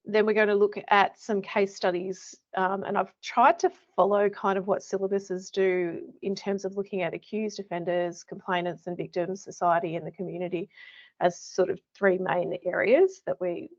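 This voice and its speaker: A middle-aged Australian woman with a croaky voice gives a chatty webinar presentation as an education manager, with many fillers and restarts. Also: medium pitch. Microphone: computer mic on a video call. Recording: dull lo-fi webinar audio.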